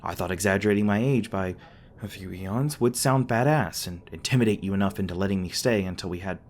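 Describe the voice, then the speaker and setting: A man's voice: sits low, brisk, slight background noise, natural voice. A man playing a flustered, tender demon boyfriend in an intimate ASMR roleplay, speaking hesitantly with stammers, bashful and a little defensive. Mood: sheepish, sincere. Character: flustered, tender young male demon boyfriend, secretly human-born.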